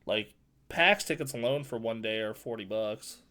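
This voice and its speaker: A man co-hosting a gaming podcast, with a nasal but bassy voice, talking loosely, joking and swearing freely. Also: normal speed, pushed and bright. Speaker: podcast co-host. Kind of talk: casual gaming chat. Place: home streaming setup.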